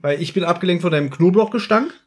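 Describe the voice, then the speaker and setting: A man's voice: sits high, quick pace. A man chatting casually as a podcast host, with a teasing manner. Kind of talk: casual chat.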